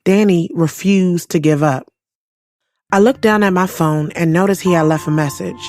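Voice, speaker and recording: A woman's voice: on the low side, moderate pace, a little mellow, faint music behind, natural voice. A woman playing a sassy young writer, telling her life story frankly and conversationally in an audio drama, with some cursing. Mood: exasperated, frank. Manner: frank, profane, confiding.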